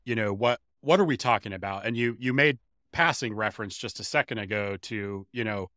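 A man's voice: on the low side, brisk, pushed and bright, no room sound, clean studio sound. A man from San Diego co-hosting a podcast, asking curious questions and telling light anecdotes in casual chat. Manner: curious, jokey interviewer. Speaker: podcast co-host.

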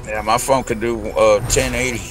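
A man's voice: mid-range voice, flat delivery, normal speed. A man with a Southern US accent, chatting casually on a game stream in a laid-back, playful way. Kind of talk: casual chat. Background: game music.

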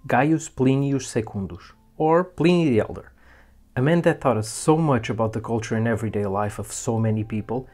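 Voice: medium pitch, normal speed, a little mellow. A presenter reading scripted educational narration about the past. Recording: music behind the voice. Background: music.

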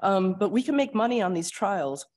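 A woman with an Upper Midwest American accent, getting over a cold and losing her voice, giving a conversational grand rounds lecture and often clearing her throat. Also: medium pitch, expressive, moderate pace, darkish tone. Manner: explanatory, conversational, frequent ums and throat clearing. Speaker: clinical research administrator presenting at grand rounds. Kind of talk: conference lecture with slides.

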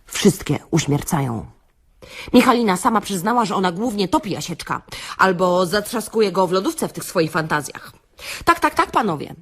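A woman playing a chatty, wry workshop speaker in a comic audio-play monologue, theatrical and confiding, with hesitant pauses and rhetorical questions. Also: on the low side, sing-song, fairly bright tone, slightly rough, full character voice.

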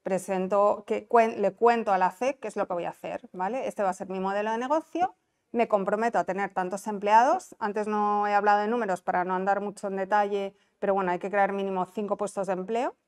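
A woman's voice: mid-range voice, fairly even intonation, brisk, smooth. A woman with a non-Canarian Spanish accent giving an informal conference presentation, explaining conversationally and checking that listeners follow. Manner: explanatory, conversational, checks understanding with tag questions. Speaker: conference presenter, business incentive adviser. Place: small indoor conference room. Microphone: lapel mic.

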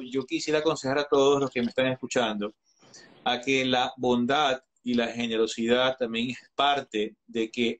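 A man in his thirties talking earnestly as a guest in an online interview, advising listeners with frequent restarts and hesitations, heard through a video call. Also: mid-range voice. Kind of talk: earnest live-stream conversation. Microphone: video call webcam.

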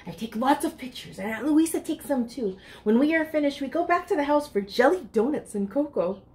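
High-voiced woman reading to children. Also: brisk. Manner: expressive, addresses children directly. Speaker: library storytime reader. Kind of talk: children's picture-book read-aloud. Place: furnished room indoors.

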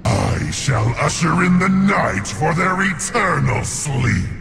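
A menacing shadow demon from a video game, growls in a heavily creaking, gravelly rasp. The delivery is very slow, theatrical and sinister, swinging widely in pitch like a bloodthirsty hunter savoring its prey. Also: male voice, expressive, a bit bright, soft background music, exaggerated voice. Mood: menacing, commanding. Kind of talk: video game character voice lines.

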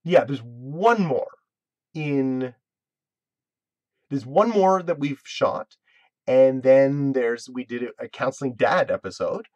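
Man, 30s, casual live-stream host, rambly with many fillers and restarts, dry close webcam sound, mid pitch. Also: expressive, slow, pauses often, darkish tone, no echo. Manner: rambling, self-interrupting, full of fillers. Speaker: web series creator hosting a live Q&A.

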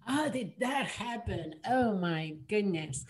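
A woman from France with a French accent, telling her story casually as a podcast guest, in an animated, exclamatory way. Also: medium pitch, slow. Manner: animated, laughs often, exclamatory. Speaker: podcast guest, psychotherapist and coach.

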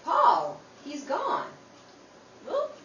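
Woman playing an earnest, high-voiced young sister. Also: very animated, extremely slow, a bit bright, dull audio, full character voice. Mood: insistent, bewildered. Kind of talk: old-time radio drama acting. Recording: roomy, distant, clearly noisy recording.

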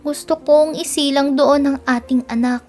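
A woman's voice: high-pitched, steady tone, normal speed, mellow, a little hiss or hum, voice pushed up, natural voice. A Filipino-accented woman voicing a weary young wife in a storybook, pleading plaintively for a settled home.

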